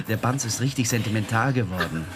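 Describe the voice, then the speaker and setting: Guy playing crude gossipy journalist, radio drama, sardonic, relishing it, medium pitch. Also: expressive, normal speed, lightly acted. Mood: gleeful. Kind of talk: German radio drama acting.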